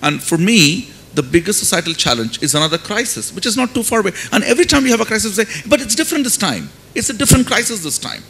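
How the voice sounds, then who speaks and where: A man's voice: big pitch swings, fast talker, vocal fry, hardly any pauses, a bit bright. A middle-aged man with an Indian accent speaks emphatically as a panelist, posing rhetorical questions into a handheld mic on a conference stage. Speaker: panelist, finance academic. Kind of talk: panel discussion remarks. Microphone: handheld microphone. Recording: faint noise and low rumble.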